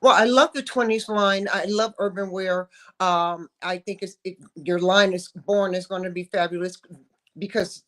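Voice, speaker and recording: A woman's voice: medium pitch, steady tone, normal speed. A woman hosting an interview, gushing with effusive praise and playful slang. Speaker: talk show host. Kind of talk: casual interview.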